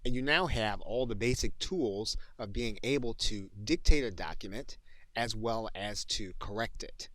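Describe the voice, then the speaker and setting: Male instructor, lowish pitch, tutorial narration, measured explanatory phrasing, very dry recording. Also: fairly bright tone, dry recording. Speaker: course instructor.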